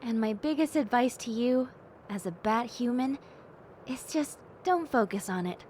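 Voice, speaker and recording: A woman voicing a gentle, cheerful young bat girl in an intimate audio roleplay, speaking earnestly and reflectively to the listener. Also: fairly high pitch, animated, slight background noise. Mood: gentle, reassuring. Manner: soft-hearted, earnest, reflective, unhurried.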